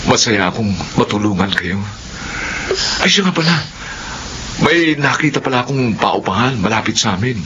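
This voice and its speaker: A male actor plays a kind young suitor, eager to help, in a radio drama. He speaks at a middling pitch in an earnest, reassuring way. The recording is dull and noticeably noisy. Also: animated, normal speed, pauses often, lightly acted. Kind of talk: Tagalog radio drama acting.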